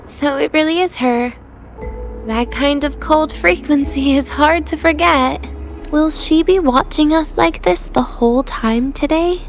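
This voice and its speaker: A composed young student from a video game, speaking in a high voice with dry, gently teasing humor. Faint music runs underneath. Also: female voice, a little slow.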